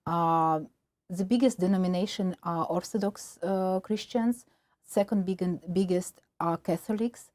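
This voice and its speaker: A woman's voice: on the low side, unhurried, darkish tone, no echo. A Ukrainian woman, a native Russian speaker, answering interview questions with many fillers and restarts. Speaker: film producer and guest.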